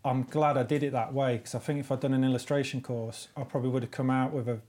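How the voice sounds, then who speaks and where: A man in his forties from the East Midlands, a visiting illustrator, answering questions in a relaxed, thoughtful way. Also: medium pitch, fast talker. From East Midlands, England. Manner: easygoing, thoughtful, hesitant fillers. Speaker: illustrator and artist guest. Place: podcast studio.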